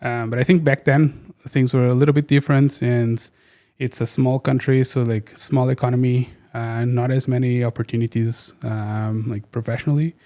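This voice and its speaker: Man, flat gravelly voice. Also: medium pitch, moderate pace. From Portugal. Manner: hesitant, many fillers and restarts. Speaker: guest. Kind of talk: casual interview chat.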